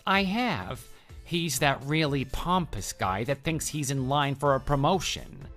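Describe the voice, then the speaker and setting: A man's voice: medium pitch, expressive, unhurried, a bit bright. A man acting as a lovesick young office worker in an audio drama, pushy and pleading. His delivery is earnest and insistent, with music playing underneath. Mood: dismayed. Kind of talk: scripted radio drama dialogue. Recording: music bed behind the voice.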